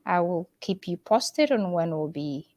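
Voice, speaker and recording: A woman's voice: lowish voice, animated, unhurried, a little mellow, no room sound. A woman from Uganda hosting a casual livestream, speaking hesitantly with many fillers and restarts. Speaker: livestream host.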